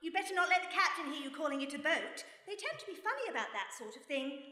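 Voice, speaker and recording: Radio play lead: unflappable British lady sleuth, bright and commanding, dry wit, recorded live in a reverberant hall. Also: high-pitched, big pitch swings, quick pace, exaggerated voice. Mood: brisk politeness. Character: unflappable, genteel British lady sleuth, mother of grown son. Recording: echoey hall, live stage.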